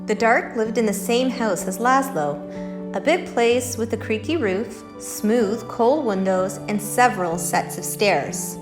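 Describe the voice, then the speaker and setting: A woman's voice: on the high side, unhurried, roomy sound, over music. A woman hosting a children's story time, reading picture books and talking in simple, encouraging words to young listeners. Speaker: library story-time host.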